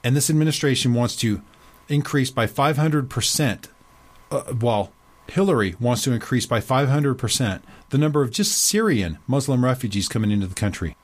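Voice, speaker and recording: A man hosting his own commentary show, talking emphatically, slowing to stress key words and dropping low at the ends of his points. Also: animated. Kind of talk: opinionated commentary reading excerpts aloud.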